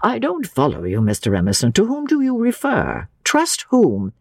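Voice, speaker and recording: Woman putting on a stern, sharp gentleman, swooping intonation. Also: mid-range voice, huge pitch swings, moderate pace, creaky, dry recording, pitched above the performer's own voice, exaggerated voice. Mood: sharp, puzzled. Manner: sharp, clipped, cool. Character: stern, reserved, contemptuous middle-class gentleman.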